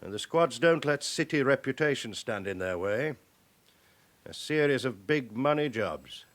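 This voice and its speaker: An actor playing a suspicious, methodical police chief inspector in a radio drama, reasoning deliberately through leading questions. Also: male voice, mid-range voice, expressive, fairly bright tone. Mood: pensive, pointed. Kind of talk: naturalistic radio drama acting.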